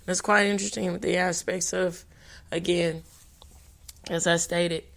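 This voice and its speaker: A woman's voice: fairly low pitch, little pitch movement, vocal fry, a bit bright. A woman podcast host, reading notes for a language talk, often stumbling and restarting on hard words. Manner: halting, with restarts on hard words and brief apologies.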